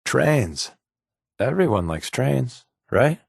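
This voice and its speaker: An actor playing a wisecracking, sardonic undercover companion in a video game, talking in a glib, deadpan way. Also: male voice, medium pitch, takes it slowly, vocal fry, slightly rough, dry recording, clean studio sound, heavily acted. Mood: wistful, wry. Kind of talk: game voice lines, dry quips.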